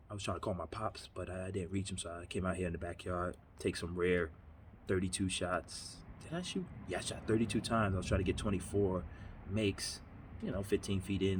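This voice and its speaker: A man's voice: deep voice, a little mellow, a little hiss or hum. A man of about thirty, from New York, giving an earnest, rambling motivational talk in his backyard. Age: 30s. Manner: earnest, rambling, repeats himself. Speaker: vlogger. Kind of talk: motivational monologue. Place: backyard outdoors.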